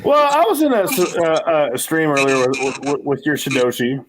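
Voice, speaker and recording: A man's voice: a little high, big pitch swings, moderate pace, crowd noise, low-quality recording. Forties male stream host, loose and self-deprecating, cusses freely, rambles on. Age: 40s. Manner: rambling, swearing, self-mocking. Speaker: livestream host. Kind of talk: casual livestream chat.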